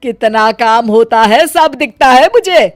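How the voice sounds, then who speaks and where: A woman puts on a bossy middle-aged mother-in-law for a family moral tale. Her voice is high and loud, with sharp, commanding delivery. She speaks at a slow pace. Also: lively intonation, no room sound, clean studio sound, soft background music. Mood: scolding, angry. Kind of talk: acted Hindi moral storybook dialogue.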